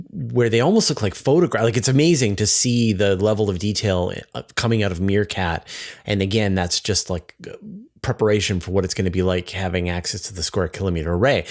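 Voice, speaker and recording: A man hosting a science interview, talking in a chatty, enthusiastic and wordy conversational way. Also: fairly low pitch. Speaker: interview host, science journalist.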